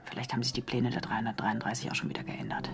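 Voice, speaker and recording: An actress playing a resourceful, determined young woman journalist in a German radio drama, brisk and matter-of-fact. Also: a bass voice, level tone, whispered, loud music behind the voice. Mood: secretive, determined.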